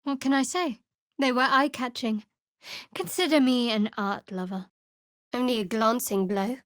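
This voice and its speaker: A guarded young priestess of a dark goddess, played by a woman for a fantasy video game. Her voice sits fairly high and is recorded close and dry. She speaks in clipped, measured phrases with wry, sardonic restraint. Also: no echo, lightly acted. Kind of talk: video game voice acting, companion dialogue and battle barks.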